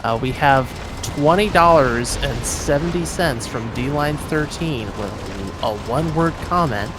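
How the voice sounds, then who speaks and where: A man's voice: mid-range voice, animated, measured pace. A man hosting a livestream marathon, casually reading out donations and thanking viewers. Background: game music.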